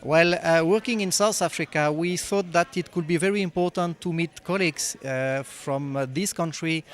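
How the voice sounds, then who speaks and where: Man, halting answers. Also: on the high side. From France. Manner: halting, searching for words. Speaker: wine professor. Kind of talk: conference interview.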